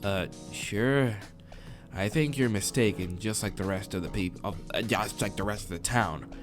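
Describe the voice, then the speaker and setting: A man's voice: lowish voice, a little slow, over music, natural voice. A man reading as a weary, sceptical human stranded among monsters in a visual novel, plain and downbeat. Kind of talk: visual novel dialogue and first-person narration read aloud.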